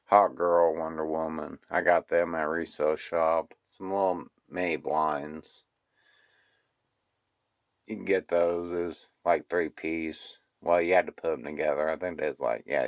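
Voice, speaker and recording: A man with a very low, deep voice walks through his collection room, speaking slowly and unhurriedly. His talk is loose and rambling, full of uh and um. He sounds like he is holding a phone camera as he goes. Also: gravelly. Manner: unhurried, rambling, many fillers. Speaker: toy collector giving a room tour. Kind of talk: casual walkthrough narration.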